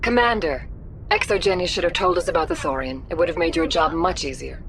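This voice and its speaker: A woman playing a composed, diplomatic councilor in a video game, with a measured, formal manner. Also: lowish voice, animated, a little hiss or hum, close to an everyday voice. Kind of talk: video game dialogue acting.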